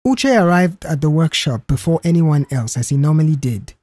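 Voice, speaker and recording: Expressive storyteller, mellow and husky. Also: medium pitch, moderate pace, no room sound. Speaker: storyteller reading a mystery audio series. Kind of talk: scripted audio story narration.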